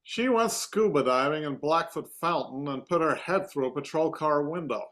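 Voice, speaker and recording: Man playing a gruff, grumbling sheriff. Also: a little high, normal speed, a bit bright, close to an everyday voice. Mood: matter-of-fact. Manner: grumbling, exasperated, folksy. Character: gruff, weary small-town male sheriff. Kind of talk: comedic table-read acting.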